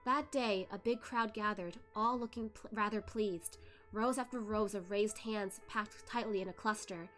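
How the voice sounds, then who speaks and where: A woman narrating a web novel for a read-along, expressive and careful with a dramatic delivery. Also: medium pitch, normal speed, soft background music.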